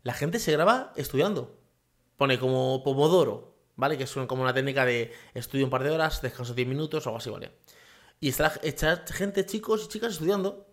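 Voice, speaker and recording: A man hosting a solo podcast from his home office. His voice sits at an ordinary middle pitch. He talks loosely and casually, jumping between thoughts with fillers and frequent tag questions. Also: expressive, fairly quick. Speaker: podcast host.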